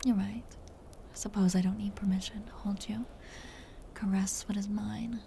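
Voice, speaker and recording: A woman voicing a possessive, tender vampire countess in a soft, breathy whisper, in an intimate ASMR roleplay with slow, soothing, teasing endearments. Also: mid-range voice, flat delivery, a little hiss or hum, lightly acted. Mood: vulnerable, wistful.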